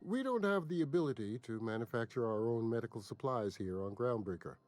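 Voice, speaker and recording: Man acting a polite, mid-pitched hospital official. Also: expressive, darkish tone, faint music behind, lightly acted. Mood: regretful. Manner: measured, formal, apologetic.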